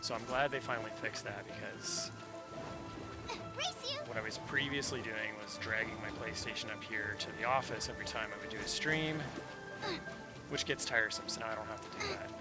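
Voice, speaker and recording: A man's voice: lowish voice, brisk. A man streaming a video game from a home office, chatting casually in a laid-back, rambling way. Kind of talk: casual livestream chat while playing. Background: loud video game music and sounds.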